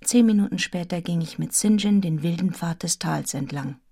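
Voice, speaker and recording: Introspective young governess. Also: female voice, lowish voice, moderate pace, vocal fry, mellow, husky. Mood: reflective, grave. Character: Jane Eyre, serious, restrained young governess narrating her own story. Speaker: actor in a radio play. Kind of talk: literary radio drama narration and dialogue.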